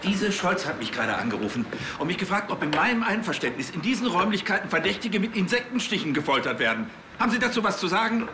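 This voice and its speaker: Exasperated police chief, animated. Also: male voice, high voice, quick pace. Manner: officious, stammering when exasperated. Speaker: actor in a police comedy series. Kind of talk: comedic screen acting. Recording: roomy echo, faint background noise.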